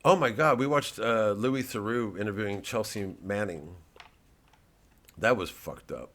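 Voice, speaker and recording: A male comedian talks casually in a fairly low voice. He rambles from tangent to tangent, halting and restarting as he goes. Swearing is sprinkled freely through the monologue. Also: a bit bright. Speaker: comedian, host.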